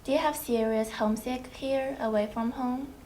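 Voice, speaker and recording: A woman's voice: high voice, fairly even intonation, mellow, a little hiss or hum. A teenage girl from China, a student, speaking earnestly and carefully in a casual interview. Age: teens. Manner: earnest, careful phrasing with "um" pauses.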